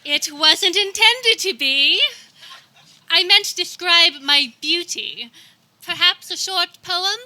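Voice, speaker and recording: A melodramatic young lady of radio comedy played by a woman, demanding and easily exasperated. The voice is very high and cuttingly bright, swinging widely in theatrical, imperious phrases. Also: expressive, slow, a little hiss or hum, full character voice. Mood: coy, disappointed. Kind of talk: comic radio theater acting before a live audience. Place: backyard, before a live audience.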